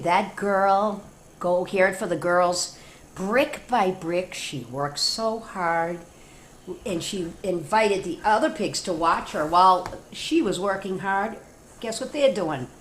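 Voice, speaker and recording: A woman's voice: medium pitch, a little hiss or hum. A woman teacher reading a storybook aloud to children, playfully addressing young listeners with chatty asides.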